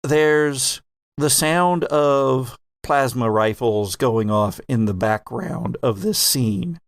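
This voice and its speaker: Quick-talking male game master, dry close sound. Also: mid-range voice, no echo. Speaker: game master and podcast host. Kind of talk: improvised narration of a tabletop roleplaying game.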